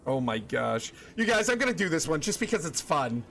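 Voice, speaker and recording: A young man with a slightly raised voice talks loosely to his stream chat, stopping and restarting his sentences as he thinks out loud. Faint ambient noise sits under his voice. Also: a little high, sing-song, a little fast, vocal fry. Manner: chatty, thinks aloud with many restarts and fillers.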